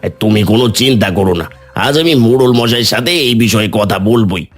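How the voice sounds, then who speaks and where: A man voicing a resentful, indignant village man in a cartoon, with a husky voice. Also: lowish voice, a little fast, faint music behind. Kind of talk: cartoon voice acting.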